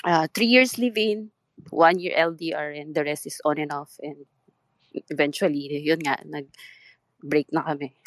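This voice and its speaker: A Filipino woman in her thirties with a thick Filipino accent, telling her story with hesitant, halting speech and mixing English with Tagalog. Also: deep voice, no room sound. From Philippines. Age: 30s. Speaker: guest seeking advice. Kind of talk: casual chat.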